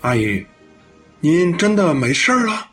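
一位年轻男演员在广播剧里饰演慌张诚恳、爱道歉的男大学生，说话结巴、带着恳求。. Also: male voice, fairly high pitch, sing-song, measured pace, fairly bright tone, soft background music. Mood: worried, guilty. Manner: stammering, pleading. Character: flustered, earnest, apologetic male university student. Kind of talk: audio drama acting.

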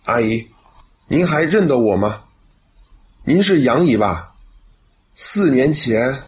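A man's voice: mid-range voice, big pitch swings, slow. An actor playing a sincere, courteous young policeman in a radio drama, speaking with gratitude. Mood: warm recognition. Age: young adult. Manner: earnest, courteous.

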